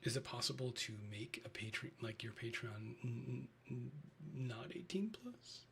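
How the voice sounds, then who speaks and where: A man with a Chicago Midwestern accent, whispering in a soft and chatty way during a live ASMR stream. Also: mid-range voice, animated, normal speed, gravelly, a little hiss or hum.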